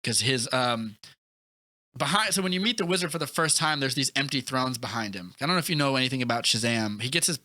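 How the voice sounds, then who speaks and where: A man chatting casually and enthusiastically about films as a podcast co-host, rambling excitedly with lots of "like". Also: mid-range voice, quick pace, dry recording. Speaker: movie review podcast co-host. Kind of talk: casual enthusiastic film chat.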